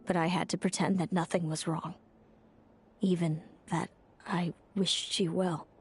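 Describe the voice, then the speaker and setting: An actress playing a bitter, tormented fae older sister in a video game, speaking in a dramatic, wounded, confessional way. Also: female voice, on the low side, flat delivery, a little slow, husky, full character voice. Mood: bitter, resentful. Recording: music under the voice. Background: background music.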